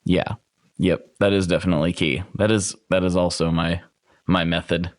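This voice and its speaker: A man's voice: sits low, little pitch movement, normal speed, no echo. Chatty podcast host, man, radio voice. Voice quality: radio announcer voice. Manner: chatty, friendly, thinks aloud. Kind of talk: casual interview.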